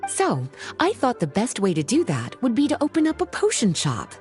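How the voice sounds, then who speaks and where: A woman playing a playful, teasing scholarly librarian witch in a video game, polished and unhurried. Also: fairly high pitch, huge pitch swings, a little mellow, soft background music. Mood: explanatory. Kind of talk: video game voice acting, scripted dialogue.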